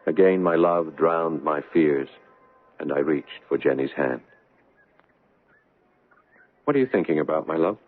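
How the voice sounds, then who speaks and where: Deep, husky, lovestruck young bridegroom. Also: male voice, lively intonation, measured pace, long pauses, full character voice. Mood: troubled, tender. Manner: earnest, formal, ornate phrasing. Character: lovestruck, anxious young bridegroom, recounting his story. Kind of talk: 1970s radio drama acting and narration. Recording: muffled vintage radio broadcast.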